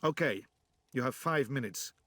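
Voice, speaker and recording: An actor playing a weary middle-aged resistance leader who also narrates, speaking with calm, dry understatement. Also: male voice, mid-range voice, moderate pace, no room sound. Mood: steady.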